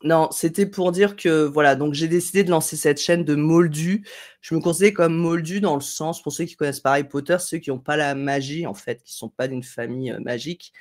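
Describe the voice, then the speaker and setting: A woman's voice: sits low, brisk, a little mellow. A woman hosting a casual live tutorial from home, reading chat comments in a chatty, digressive way, with a slight head cold. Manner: chatty, digressive, friendly, thinking aloud with fillers. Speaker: live stream host. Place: home room at a desk, indoors.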